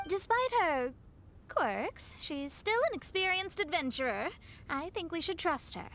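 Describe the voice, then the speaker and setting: Casting: thoughtful young stargazer for a video game, high-pitched, articulate, studious and polite. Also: female voice, animated, unhurried, fairly bright tone, exaggerated voice. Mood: earnest.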